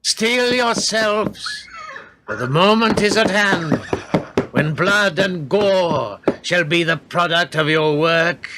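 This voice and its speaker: A man's voice: sits high, animated, takes it slowly, noisy background. An actor playing a haughty, greedy warrior king and father in a radio play, with pompous, archaic delivery. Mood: stern, commanding. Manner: pompous, declamatory archaic speech.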